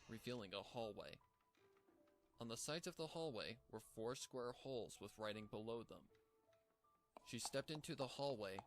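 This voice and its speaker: A man reading a scripted story aloud as a fan fiction narrator. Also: medium pitch. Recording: clear background noise.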